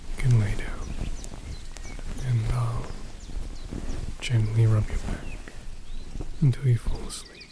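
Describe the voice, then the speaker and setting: A man playing a gentle, reassuring captor in a soft, intimate ASMR roleplay, pausing often as he speaks. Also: on the low side, noisy background. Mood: tender, hushed. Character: gentle male captor soothing a kidnapped elf.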